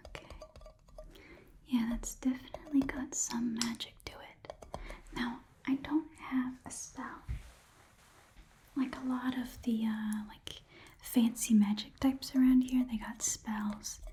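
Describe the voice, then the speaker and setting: A woman voicing an eager, folksy goblin pawn shop keeper in a soft whispered ASMR roleplay, murmuring haltingly to a customer. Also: fairly high pitch, flat delivery, slight background noise. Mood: curious, folksy. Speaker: ASMR performer playing a shopkeeper.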